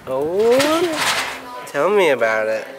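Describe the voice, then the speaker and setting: A man's voice: on the high side, big pitch swings, takes it slowly, heavy background noise. A man in his thirties filming a casual family vlog, playful and encouraging with his kids, holding the camera. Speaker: vlogging dad. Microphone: handheld camera. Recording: background music under camera audio.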